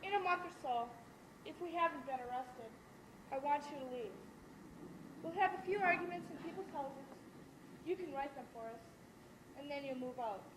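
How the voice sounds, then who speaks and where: A woman's voice: sits high, moderate pace, long pauses, natural voice. A woman playing a playwright's anxious, morally appalled wife in a live stage play, earnest and melodramatic. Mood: appalled, resolute. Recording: echoey, noisy amateur stage recording.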